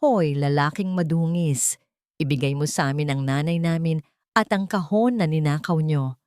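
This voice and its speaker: A woman's voice: low-pitched, moderate pace, darkish tone, no room sound, clean studio sound, lightly acted. A woman playing a disgusted, haughty villainous daughter, scornful and demanding, in an audio drama.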